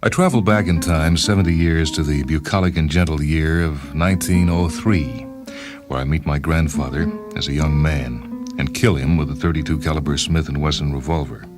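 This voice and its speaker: Storybook lead: wry, deadpan, wordy male inventor, disgruntled husband, acted by a narrator, very low voice over soft music. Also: moderate pace. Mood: wry, matter-of-fact. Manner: deadpan, wry, fussily verbose. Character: disgruntled, self-justifying inventor, a married time traveller. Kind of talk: first-person dramatised short-story reading.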